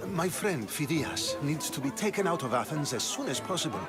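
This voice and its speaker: An actor playing a commanding, cautious statesman of ancient Athens in a video game, speaking formally and deliberately. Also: on the high side, lively intonation, loud music behind the voice, close to an everyday voice. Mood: businesslike.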